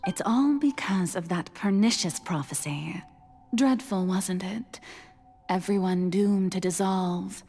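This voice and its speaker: A woman's voice: mid-range voice, slow pace, dark tone, long reverb tail, faint music behind. A woman voicing a playful, self-satisfied goddess of water in a video game, with an arch, flowery, theatrical delivery. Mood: wry, theatrical.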